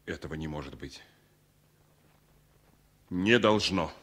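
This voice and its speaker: An anxious, devoted engineer in a vintage Soviet radio drama. The voice is fairly deep and husky. He speaks thoughtfully and with measured earnestness. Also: a bit bright, exaggerated voice. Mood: anxious, determined. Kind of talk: 1970s Soviet radio play acting.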